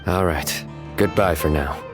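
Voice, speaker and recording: A stern young judge speaking low and level in clipped, solemn lines. He delivers even his puns completely deadpan. Game soundtrack music plays clearly behind him. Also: a little slow. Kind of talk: game cutscene voice acting.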